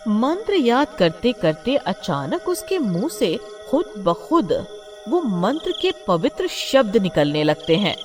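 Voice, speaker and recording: A woman's voice: medium pitch, very animated, slow pace, darkish tone, faint music behind. A woman narrating a scripted horror story in a dramatic storytelling manner.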